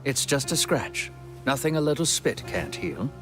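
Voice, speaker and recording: An actor playing a dutiful, self-effacing elf scout bred to serve in a fantasy game, speaking formally and politely. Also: male voice, mid-range voice, very animated, normal speed, music in the background, lightly acted. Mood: stoic. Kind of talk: cinematic video game acting.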